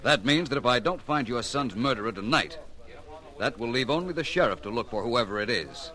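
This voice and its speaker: An actor playing a shrewd, self-assured gentleman detective in an old radio drama, with precise, dry, controlled delivery. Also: mid-range voice, expressive, brisk. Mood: firm, polite. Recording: old radio recording, faint noise.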